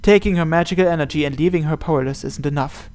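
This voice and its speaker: Higher-pitched man reading dramatic narration. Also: fast talker, darkish tone. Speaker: host reading a visual novel aloud. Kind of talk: scripted narration.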